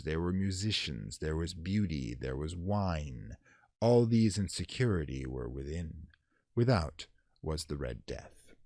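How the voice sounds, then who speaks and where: Horror host and narrator: man with a very low, animated voice, dry close sound, dramatic and playfully ominous. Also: dry recording. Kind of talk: theatrical horror storytelling, intro chat then dramatic reading.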